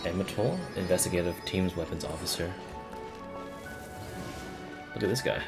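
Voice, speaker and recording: A man's voice: deep voice, moderate pace, creaky, over music. A man giving casual, profane and sardonic live commentary as he plays through a video game and reads bits aloud. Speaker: game streamer.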